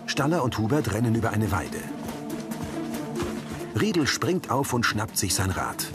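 A man reading scripted audio description of scenes as a narrator. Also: mid-range voice. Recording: music under the voice.

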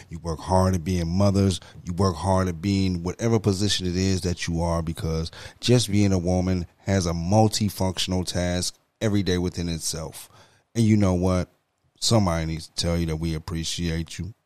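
A man in his forties co-hosting a podcast from a home studio, laid-back as he gives shout-outs. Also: low voice, no room sound. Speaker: podcast co-host. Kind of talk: casual podcast chat. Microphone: studio mic on desk stand, headphones.

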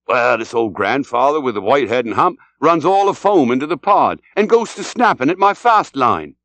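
A man's voice: medium pitch, big pitch swings, moderate pace, fairly bright tone, no echo. A man voicing a bluff, genial English whaling captain who spins yarns in a hearty, exclamatory way for a storybook reading. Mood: spirited storytelling. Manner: hearty, exclamatory yarn-spinning.

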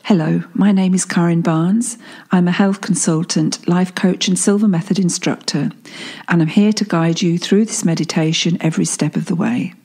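A woman guiding a meditation in a calm, soothing way, leaving long pauses between phrases. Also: on the low side, slightly rough. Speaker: guided meditation instructor and life coach. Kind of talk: guided meditation narration. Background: soft healing-frequency sound.

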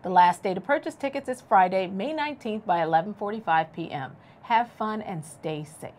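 A middle-aged woman, a teacher co-hosting a school news show, reading announcements in a measured, careful way from a studio desk. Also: mid-range voice, expressive, a little mellow.

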